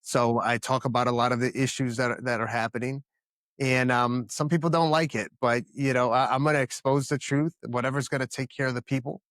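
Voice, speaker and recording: A man in his thirties from the Dominican Republic speaks as a podcast guest, earnest and emphatic, doubling back on words as he builds each point. His pitch sits in an ordinary middle range. The sound is close and dry, with no room around it. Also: fairly even intonation, a little fast, a bit bright, no room sound. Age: 30s. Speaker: podcast guest, company founder. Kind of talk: casual interview answers.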